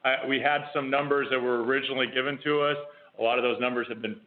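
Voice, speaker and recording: A man speaking as a company executive on a conference call, explaining business in a measured way over the phone. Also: mid-range voice, fairly even intonation. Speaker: company executive answering analysts. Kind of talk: earnings conference call answers. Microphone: telephone. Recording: tinny phone conference line.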